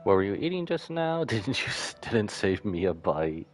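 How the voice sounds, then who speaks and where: Male streamer, low voice, swooping intonation, casual commentary, sentimental reactions, thinking out loud, music behind. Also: big pitch swings, normal speed. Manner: sentimental, reacting aloud and musing to himself. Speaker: game streamer. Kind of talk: casual live game commentary.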